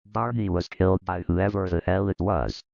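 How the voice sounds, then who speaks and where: A man voicing a gruff, crude male computer virus announcer with a gravelly voice. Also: sits low, fairly even intonation, normal speed, no echo, full character voice. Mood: mocking. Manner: crude and mocking. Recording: dull lo-fi sound.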